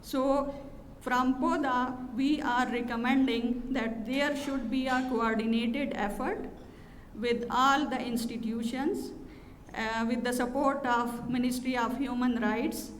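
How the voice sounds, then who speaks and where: A woman addresses a conference in a slightly high voice at an unhurried pace, often pausing on fillers. Her podium microphone picks up the long reverb of a hotel conference hall, with faint noise underneath. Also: steady tone. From Pakistan. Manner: measured, with frequent pauses and fillers. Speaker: conference speaker from an NGO.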